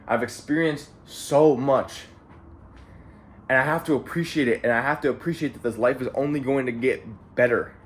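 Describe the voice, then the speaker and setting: A man's voice: mid-range voice, normal speed, slight background noise. A young man in his late teens from Canada, talking casually and profanely to camera in a motivational, rambling monologue, often repeating phrases and saying "bro". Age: young adult. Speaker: vlogger. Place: at home.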